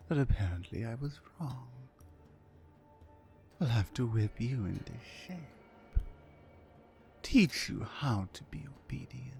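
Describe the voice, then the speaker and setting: A woman playing a towering, mean, dominant orc captor in an ASMR roleplay, commanding and deliberate. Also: extremely low pitch, vocal fry, dark tone, full character voice. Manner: menacing, commanding, slow and deliberate.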